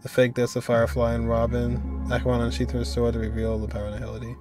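A young man reacting to a video game at his desk, asking quick questions and muttering comments. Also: mid-range voice, completely flat delivery, over music. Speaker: reaction streamer. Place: indoor room at a desk.

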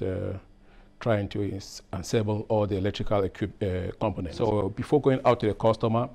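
Man from Cameroon, middle-aged, low voice, gravelly texture, hesitant, lapel mic in a lab. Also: a little mellow. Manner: hesitant, many fillers and restarts. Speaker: process engineer. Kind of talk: workplace interview. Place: lab, indoors.

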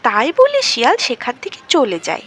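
A woman narrating an animated children's story in a dramatic, expressive storytelling manner. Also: high voice, normal speed. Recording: clearly noisy background.

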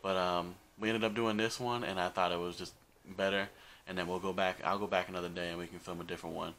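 Bright, low-voiced young man. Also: flat delivery, fast talker. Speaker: vlog host. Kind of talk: casual chat to camera introducing a video. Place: small bedroom, indoors.

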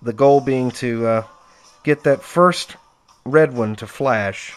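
A man's voice: medium pitch, slow, clean tone, soft background music. Chatty man demonstrating gear. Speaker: presenter demonstrating equipment. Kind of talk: casual unscripted product demonstration. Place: indoors at home, close-up tabletop demonstration.

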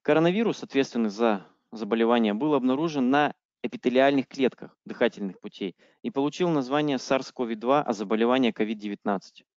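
A male interpreter relays a medical lecture sentence by sentence, halting on fillers and fixing slips as he goes. His mid-pitched voice comes through dry and close, with a dull lo-fi call sound. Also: a little mellow, no room sound. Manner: halting, with frequent fillers and self-corrections. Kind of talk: consecutive interpreting.

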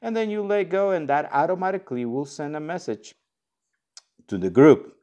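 A man's voice: fairly high pitch, animated, moderate pace, dark tone. Man giving a casual step-by-step app tutorial. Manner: informal, step-by-step explaining. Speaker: product tutorial host. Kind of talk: casual instructional walkthrough.

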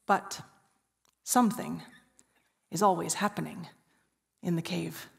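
Woman, 40s, pastor, Easter sermon, hushed storytelling pauses, long hall reverb. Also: lowish voice, steady tone, gravelly. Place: large church stage.

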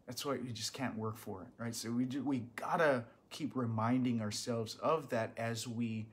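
A man, a pastor teaching a Bible study from home, earnest and animated, with bursts of emphasis. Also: medium pitch, moderate pace. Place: small living room at home.

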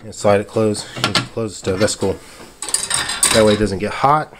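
A man's voice: lowish voice, normal speed. A man casually narrating his hands-on home repair in a laid-back, plain-spoken way. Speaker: home DIY video maker. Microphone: handheld camera or phone behind the lens. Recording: noisy handheld camera audio with clicks.

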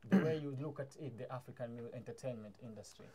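A man's voice: medium pitch, quick pace, mellow, slightly rough. A young man hosting an interview show in a small studio, giving enthusiastic introductions in loose, informal phrasing. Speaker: show host. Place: small studio room with mic stands.

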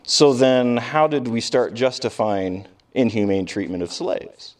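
A man giving an informal classroom lecture, conversational and emphatic, asking rhetorical questions and pausing for weight. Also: mid-range voice. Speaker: history professor lecturing. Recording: distant microphone in live lecture.

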